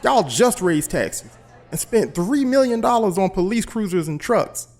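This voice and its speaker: Actor playing an outraged resident, wildly animated pitch. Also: unhurried, soft background music. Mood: indignant disbelief. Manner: confrontational, indignant, challenging. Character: outraged, defiant resident of a housing project. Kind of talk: audio drama acting.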